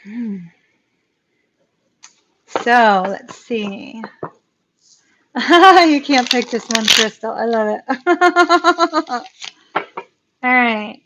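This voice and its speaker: A woman, giving a live tarot reading in a chatty way, with a voice going hoarse, laughing often and repeating words for emphasis. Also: on the high side, animated, drawn-out words, long pauses, noisy background. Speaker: tarot reader.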